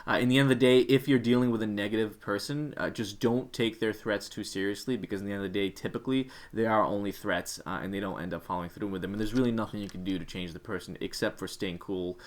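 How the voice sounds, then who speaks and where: A man's voice: lowish voice, steady tone, fast talker, hardly any pauses. A man co-hosting an instructional video, giving informal advice straight to the viewers as a career coach.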